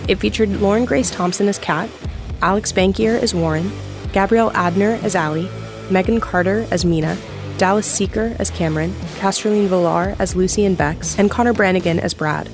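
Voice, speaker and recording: A woman's voice: mid-range voice, gravelly. A woman announcer reading scripted closing credits for a podcast in a narration style. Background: music.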